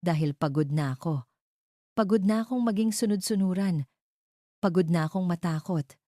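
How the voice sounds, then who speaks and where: Woman as a low-voiced, firm, weary grown son. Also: normal speed, no room sound, studio quality, close to an everyday voice. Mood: firm defiance. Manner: calm, weighty, firm. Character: weary grown son, regretful yet firmly defiant.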